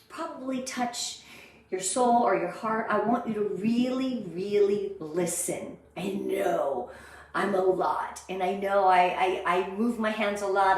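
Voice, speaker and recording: A middle-aged American woman speaking with gushing, high energy, greeting viewers on a live online motivational training. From Southern California, USA. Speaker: sales director leading a motivational training. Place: indoor room, live stream with ring light. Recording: very echoey, roomy sound.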